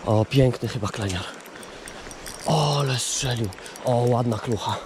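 Man murmuring excitedly on a noisy riverbank. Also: mid-range voice, measured pace. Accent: Polish. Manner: excited muttering, coaxing the fish with repeated words. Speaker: angler filming his catch. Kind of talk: excited fishing commentary to camera. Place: outdoors on a riverbank. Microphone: chest-mounted action camera. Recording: loud river and outdoor noise.

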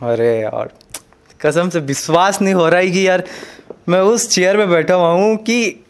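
A man with a somewhat high voice hosts a tour through a home. He asks eager, quick questions with open reverence. His voice is caught by the camera mic in a bedroom. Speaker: video host. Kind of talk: casual Hindi house-tour interview. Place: bedroom of a house, indoors.

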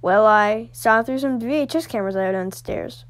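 A woman voicing amateur character dialogue for an animated story. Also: on the high side, moderate pace. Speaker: creator voicing all characters of an animated series.

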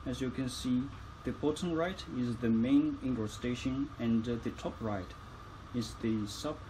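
A man's voice: mid-range voice, a little slow, dark tone, a little hiss or hum, poor audio. A man giving instructional tutorial narration as a product demonstrator, phrasing each step carefully.

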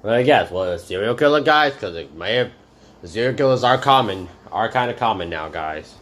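A man's voice: fairly low pitch, expressive, normal speed, a bit bright, a little hiss or hum. Rambling man saying "guys" nonstop. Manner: rambling, says "guys" constantly. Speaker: reaction channel host. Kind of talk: casual reaction commentary.

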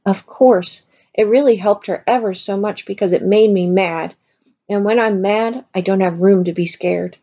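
An earnest, stubborn teenage girl, as a woman reading aloud puts her on, lifted a little above the reader's own voice and speaking with urgency. The tone is mellow and husky over a dull recording. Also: medium pitch, moderate pace, lightly acted.

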